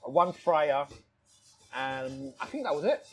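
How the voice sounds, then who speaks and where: A man's voice: on the high side, big pitch swings, slow, slight background noise, rough recording. A man telling stories casually in conversation, rambling and repeating phrases, with frequent "you know". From born in Thailand, lives in London. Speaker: restaurant owner, interview guest.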